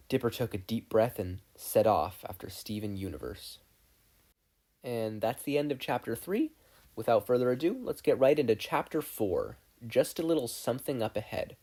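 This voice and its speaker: A narrator with a husky, slightly rough voice at a middling pitch reads fiction aloud. The delivery is scripted and expressive, shaped as storytelling. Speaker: podfic narrator.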